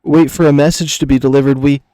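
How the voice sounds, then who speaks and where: Visual novel tiger knight, acted by a man: young male, timid, flustered, halting stammer, dry close sound. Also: mid-range voice, level tone, mellow, dry recording, close to an everyday voice. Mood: nervous, polite. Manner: stammering, nervous, hesitant. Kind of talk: visual novel character reading.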